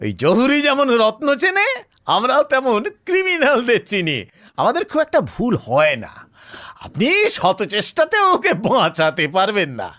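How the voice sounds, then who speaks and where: An actor playing a talkative, smug police officer in a Bengali audio story, speaking colloquially with scoffing rhetorical tags. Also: male voice, very high-pitched, wildly swooping pitch, unhurried, bright, forceful tone, exaggerated voice. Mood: mocking. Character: Anishchay, talkative cocksure male police officer, smug and sardonic.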